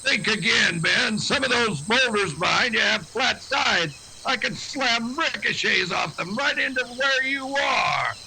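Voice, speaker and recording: A man playing a stern, wry frontier marshal in a western radio drama, steady and unyielding. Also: extremely high pitch, measured pace, creaky, few pauses, crowd noise, full character voice, low-quality recording. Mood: challenging.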